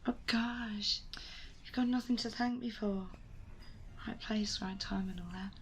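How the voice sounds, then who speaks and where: A medium-pitched actress as a wry young woman in an ASMR audio drama, with slight ambient noise behind her. She is evasive and modest, speaking in a sardonic, hesitant, naturalistic way. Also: steady tone, unhurried, close to an everyday voice. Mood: awkward, reassuring. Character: wry, evasive, sleepless young woman who rescues people. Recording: noisy ambience behind close voice.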